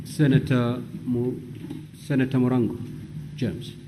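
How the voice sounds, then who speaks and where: A man's voice: medium pitch, little pitch movement, unhurried. A man presiding over a chamber with brief, formal procedural calls. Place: parliamentary chamber. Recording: clear background noise, live chamber.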